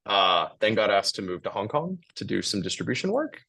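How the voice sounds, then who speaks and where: An American man in his forties talks through a relaxed podcast interview. His voice sits very low with a gravelly edge and some huskiness. He is chatty and full of filler words. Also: fairly quick. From United States. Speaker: guest.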